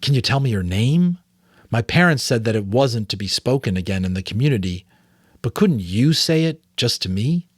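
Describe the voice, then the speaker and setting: A man's voice: medium pitch, lively intonation, moderate pace, breathy edge, dry recording, studio quality. A man voicing an earnest, curious young boy apprentice in an audiobook novel, polite and tentative.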